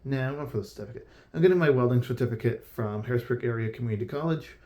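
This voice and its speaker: A man's voice: mid-range voice, very fast, dark tone. A young man from Pennsylvania giving casual, rambling gameplay commentary from his bedroom. He is chatty, drifts off topic and pokes fun at himself. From Pennsylvania, USA. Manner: chatty, easily sidetracked, self-mocking. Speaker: gaming YouTuber. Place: bedroom at home.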